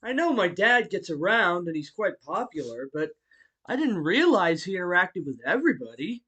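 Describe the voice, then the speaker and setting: A man voicing a friendly, bookish martial-arts hero and teacher in a fan audio roleplay, speaking politely to the listener with hesitant pauses. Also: high-pitched, big pitch swings, pushed and bright, slightly rough, exaggerated voice. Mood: curious, polite. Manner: polite, chuckling, hesitant pauses between phrases.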